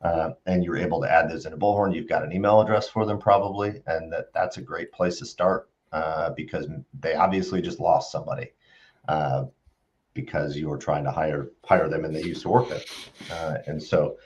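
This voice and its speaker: A man's voice: very deep voice, steady tone, moderate pace. A middle-aged man co-hosting a business live stream, explaining conversationally with somewhat hesitant phrasing. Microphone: video call. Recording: slightly dull video-call audio.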